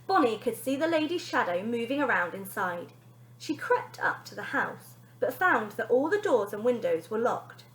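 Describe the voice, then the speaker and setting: A woman reads a children's story aloud like a teacher, with great expression. Her fairly high voice rises and falls widely, and the room adds a clear echo. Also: very animated, normal speed, fairly bright tone, slight background noise. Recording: echoey room.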